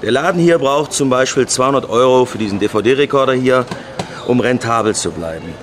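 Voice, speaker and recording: A man's voice: medium pitch, moderate pace, close to an everyday voice. A man playing a naive, romantic banker in a radio play, speaking earnestly in a natural, slightly rambling way. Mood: eager, explanatory. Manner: rambling, repeats himself, earnest. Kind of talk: naturalistic radio drama acting.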